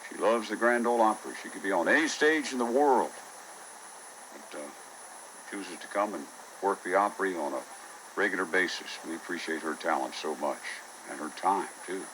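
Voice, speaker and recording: A man with a very low voice chats loosely between songs as a radio DJ, easygoing and full of little fillers. He is heard through the speaker of a small portable radio, with clear hiss and static around him. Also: moderate pace, low-quality recording. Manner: relaxed, chatty, with fillers. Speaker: radio disc jockey. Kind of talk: live radio announcing.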